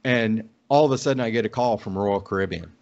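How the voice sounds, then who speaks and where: A man's voice: fairly low pitch, quick pace, darkish tone. American man, dull lo-fi call audio. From Oklahoma, United States. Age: middle-aged. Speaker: podcast guest. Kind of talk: casual anecdotal storytelling. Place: indoor room on a video call.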